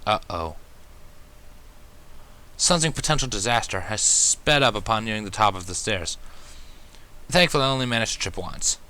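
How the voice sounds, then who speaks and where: A man's voice: lowish voice, lively intonation, moderate pace, pauses often, sharp tone. A man narrating a first-person fan fiction story, reading expressively as an amateur.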